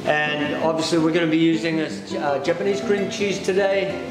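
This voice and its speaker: A middle-aged man who grew up between England and New Zealand talks through a pastry recipe step by step. His voice sits a little high, and he explains things hesitantly, without hurry. The room is very echoey, and music plays loudly behind him. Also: fairly even intonation, normal speed. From England-born, raised in New Zealand. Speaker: pastry chef demonstrating a recipe. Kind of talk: step-by-step cooking demonstration. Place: indoor demonstration kitchen. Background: loud background music.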